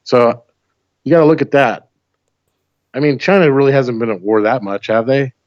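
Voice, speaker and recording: Slightly dull, dry call audio carries a man from the United States with a medium-pitched voice. He chats casually as a guest, pushing his opinions through rhetorical questions and quick check-ins with the listener. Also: no room sound. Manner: pressing points with rhetorical questions.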